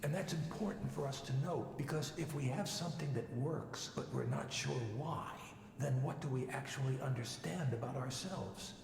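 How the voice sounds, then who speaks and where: A man voicing a composed tech project psychologist in a video game, explaining things in a measured, lecturing way. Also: mid-range voice, gravelly, noisy background, natural voice. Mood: measured, assured. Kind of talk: scripted game dialogue, lecturing. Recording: echoey hall with long reverb.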